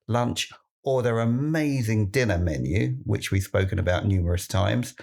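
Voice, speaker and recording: A man banters casually as a podcast co-host. His voice is fairly low and gravelly, with a dry, teasing delivery. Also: animated, moderate pace, a little mellow.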